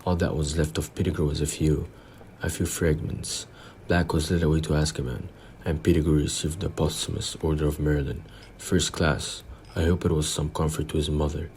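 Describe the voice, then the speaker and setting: A man playing a grave, pompous older government minister in an audio play, speaking in a measured, formal way. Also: a bass voice, level tone, a little mellow, a little hiss or hum. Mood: solemn.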